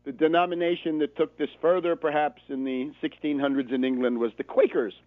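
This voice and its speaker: American male radio commentator, sardonic and emphatic, slightly high-pitched, dry phone-quality audio. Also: fairly even intonation, brisk, no room sound. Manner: emphatic, rhetorical, sardonic, with repeated phrases. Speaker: political radio show host. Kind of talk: political commentary monologue. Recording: narrow phone-like sound, dry.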